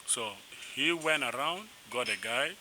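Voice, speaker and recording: A young man from South Sudan, a musician being interviewed, telling his story in a rambling, animated way with restarts. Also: medium pitch, unhurried. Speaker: musician guest. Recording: noisy background.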